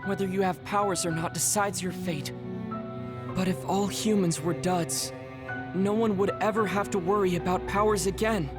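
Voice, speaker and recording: A man's voice: high voice, steady tone, close to an everyday voice. A man playing a formal, reserved young man. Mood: intense. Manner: formal, measured and polite. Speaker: game character. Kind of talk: dubbed game cutscene dialogue. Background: game music.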